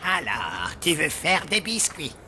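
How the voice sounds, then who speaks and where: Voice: medium pitch, sing-song, slow. An actor voicing a vain, theatrical zombie chef in a French cartoon dub, pompous and dramatic. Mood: indignant. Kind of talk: animated cartoon dub acting. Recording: music behind the voice.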